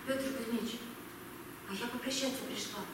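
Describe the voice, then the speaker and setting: A young woman playing an earnest, girlish army signaller in an amateur audio drama, halting shyly and repeating words. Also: on the high side, close to an everyday voice. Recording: noisy echoey hall, distant mic.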